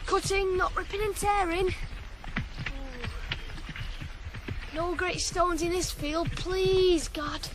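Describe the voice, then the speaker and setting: Cocky high-voiced teenage ploughboy. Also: male voice, steady tone, a little slow. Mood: intent, absorbed. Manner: boastful, headstrong. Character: cocky, single-minded sixteen-year-old ploughboy. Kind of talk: radio drama acting. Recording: noisy 1980s radio drama recording.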